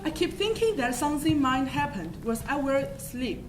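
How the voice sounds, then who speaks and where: A woman's voice: high voice, animated, moderate pace. A woman acting a blunt, dismissive, bored theatregoer in an amateur stage comedy. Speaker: student actor in a school play. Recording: echoey, noisy stage recording.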